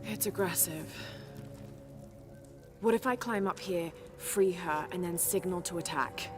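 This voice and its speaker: A woman's voice: on the low side, natural voice. A woman playing a resolute young adventurer. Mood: determined. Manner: brisk, resolute. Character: determined female adventurer. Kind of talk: video game cutscene acting. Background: music.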